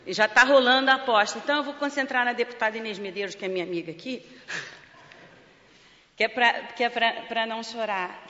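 A woman's voice: on the high side, fairly bright tone. A woman with a Brazilian accent, a charity president and clown, giving a joking, emotional award acceptance speech. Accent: Brazilian Portuguese. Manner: emotional, joking, fighting back tears. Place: large parliament hall. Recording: lo-fi with long hall reverb.

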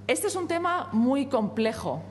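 A woman, a native Spanish speaker, reads out formal testimony at a hearing. She moves at a measured, hesitant pace and fills her pauses. The sound carries a long hall reverb with a faint hum behind it. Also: high-pitched, big pitch swings. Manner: measured, hesitant, with frequent fillers. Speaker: company representative at a parliamentary hearing.

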